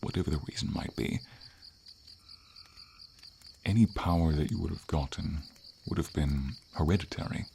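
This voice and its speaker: A man voicing a gentle, wise dragon in a fantasy ASMR roleplay, speaking formally in slow, measured phrases with thoughtful pauses. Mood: calm, explanatory. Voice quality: very deep, gravelly. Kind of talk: ASMR fantasy roleplay, scripted character acting. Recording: steady hiss of rain and insects behind. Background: light rain and night insects.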